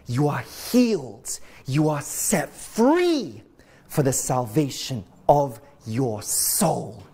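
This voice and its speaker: A man's voice: fairly high pitch, mellow, a little hiss or hum. Guy preaching hard into a lapel mic, really drawn out, voice all over the place. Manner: impassioned, sweeping arm gestures. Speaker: preacher leading prayer. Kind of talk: fervent prayer declarations. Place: studio in front of a logo screen.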